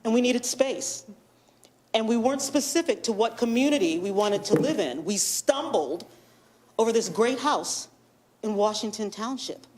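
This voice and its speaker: A woman with a loud voice giving a lively, laughing presentation as a board member at a public meeting. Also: a little high, normal speed, slight background noise. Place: township meeting room.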